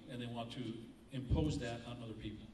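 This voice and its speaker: A man, moderating a candidate forum and posing a question in a measured, deliberate way. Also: mid-range voice, mellow, a little hiss or hum. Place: community forum room. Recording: echoey room from far off.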